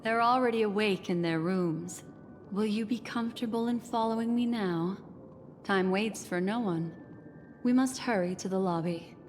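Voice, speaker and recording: Game role, actress as enigmatic female memory keeper: poised, teasing, reassuring, measured, mid-pitched, in a reverberant hall. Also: slight background noise, natural voice. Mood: soothing. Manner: measured, soothing, coy, formal phrasing. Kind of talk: video game voice acting.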